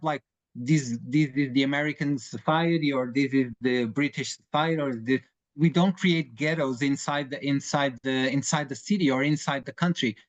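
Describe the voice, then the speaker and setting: A man's voice: fairly high pitch, highs cut off. A man in his forties from Portugal, Portuguese native, speaking English with a Portuguese accent as a lawyer in a webinar discussion, hesitant with many fillers and restarts. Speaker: lawyer advising clients.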